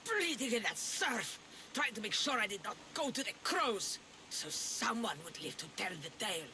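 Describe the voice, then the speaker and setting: Worn-out gruff sailor in a game, bitter, clear background noise. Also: female voice, on the high side, sing-song, moderate pace. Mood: grim. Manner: weary, gruff. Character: battered, weary female sailor, gruff and bitter. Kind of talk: video game cutscene acting.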